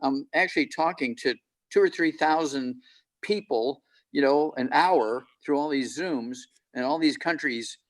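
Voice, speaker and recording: A man's voice: on the high side, unhurried, poor audio. A man in his eighties, a panel guest on a video call, talking boastfully and rambling with many fillers. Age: 80s.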